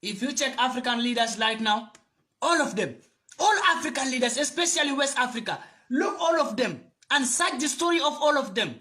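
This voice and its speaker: Man, very high and bright-edged voice, impassioned and preachy to-camera address. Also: lively intonation, normal speed. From Gambia. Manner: fiery, preachy, repeats phrases for emphasis. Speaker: video commentator.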